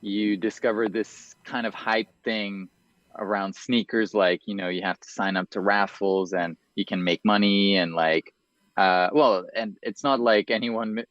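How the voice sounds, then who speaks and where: A young man from the United States, raised in France, a podcast guest talking casually, quick and hesitant with many fillers. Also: fairly low pitch, fairly even intonation, fairly bright tone, no echo, dull audio. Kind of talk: casual interview chat.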